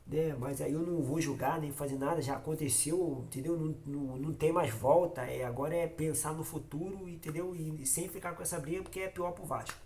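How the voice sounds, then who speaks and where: A man's voice: fairly high pitch, steady tone, a little fast, few pauses, darkish tone. Man, Carioca accent, nasal from a cold, casual fan vlog host, repetitive, emphatic, talks to viewers. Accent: Carioca Brazilian Portuguese. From Rio de Janeiro, Brazil. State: has a cold. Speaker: football fan channel host.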